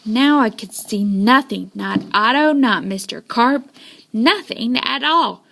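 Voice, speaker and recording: Slow, high-voiced woman reading a children's story. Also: creaky. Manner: expressive, dramatic storytelling. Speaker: storybook reader. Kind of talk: children's story read-aloud.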